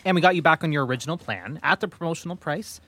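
An actor playing a polite young man working as a customer service rep, speaking in a measured, naturalistic way for an audio drama. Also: a little high, expressive, fairly bright tone. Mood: polite, businesslike. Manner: courteous, measured, hesitant when personal. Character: polite young male call-centre rep, aspiring drag queen.

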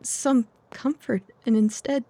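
A woman's voice: on the high side, steady tone, takes it slowly, warm tone, rough recording. A woman playing a composed but anxious young Victorian lady in an audio drama, speaking formally and earnestly. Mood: sorrowful.

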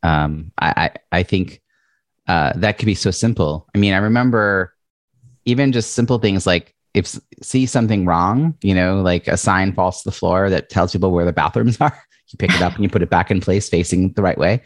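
Deep-voiced chatty male podcast host. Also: normal speed, no room sound. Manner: chatty, says he has a loud voice and big presence. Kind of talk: interview podcast.

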